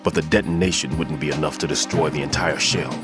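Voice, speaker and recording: A seasoned bomb disposal man for a video game. His voice is very low and measured, heavy with guilt and foreboding. Music plays clearly behind him. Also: steady tone. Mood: grave, suspicious.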